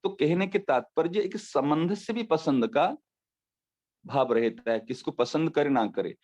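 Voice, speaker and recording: A man giving a devotional discourse as a spiritual teacher, explaining with everyday examples and slowly repeating his key phrases. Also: on the high side, a little mellow. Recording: dull lo-fi recording.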